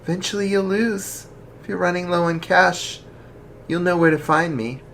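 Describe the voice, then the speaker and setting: A storyteller voicing a smug, rich young woman with a taunting manner, pitched above their own voice. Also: steady tone, normal speed, slight background noise, lightly acted.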